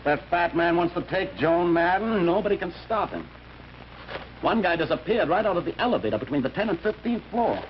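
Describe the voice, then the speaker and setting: A man's voice: sits high, very animated, fairly quick. A man playing a tough, determined detective who talks tersely in an old-time radio drama. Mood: grim, determined. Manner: terse, hard-boiled. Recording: noisy, muffled vintage radio recording.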